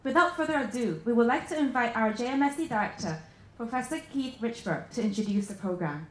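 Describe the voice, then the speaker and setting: A woman's voice: fairly high pitch, animated, fast talker, slight background noise. A young woman in her twenties with a Hong Kong accent, a student emcee reading a formal script in a lecture hall. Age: 20s. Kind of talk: scripted event hosting. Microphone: handheld mic, filmed from the back. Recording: roomy hall sound.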